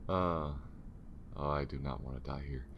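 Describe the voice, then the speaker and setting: A man's voice: moderate pace, lo-fi sound, a little hiss or hum. A man voicing an injured, wary alien spacefarer in an ASMR audio roleplay, speaking in a hesitant, casual mutter with a wry edge. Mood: nervous, startled. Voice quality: very deep, gravelly. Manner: hesitant, casual, muttering with sighs and half-whispers. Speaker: voice actor. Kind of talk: ASMR audio roleplay, one side of a conversation.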